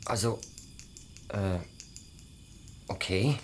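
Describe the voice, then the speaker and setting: A woman playing a hesitant boy detective with halting speech in a German children's audio drama. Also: a bass voice, noisy background, exaggerated voice. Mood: uneasy.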